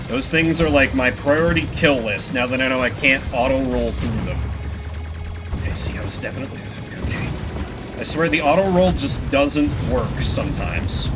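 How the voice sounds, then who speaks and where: A man doing casual live gameplay commentary, rambling in a self-deprecating way with casual swearing. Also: mid-range voice. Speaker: video game streamer.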